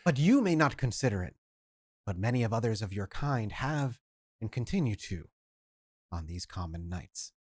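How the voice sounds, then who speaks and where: A storyteller voicing a condescending, genteel male vampire elder who speaks softly and patronizingly. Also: mid-range voice, big pitch swings, normal speed, husky, no echo, studio quality. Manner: patronizing, soft-spoken.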